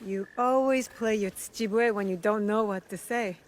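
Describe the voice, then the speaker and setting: A young woman shinobi played by an actress in a dramatic video game. She sounds earnest and dutiful, with a medium-pitched voice and a reserved daughterly manner. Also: normal speed, close to an everyday voice.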